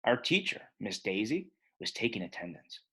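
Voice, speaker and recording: A man reading a children's book aloud as an expressive storyteller, with a husky voice. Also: fairly low pitch, moderate pace.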